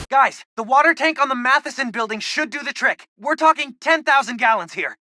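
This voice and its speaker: A man's voice: fairly high pitch, sharp tone, dry recording, studio quality. A young American man voicing an eager, geeky teenage boy, a loyal tech sidekick in a video game, informal and earnest. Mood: panicked.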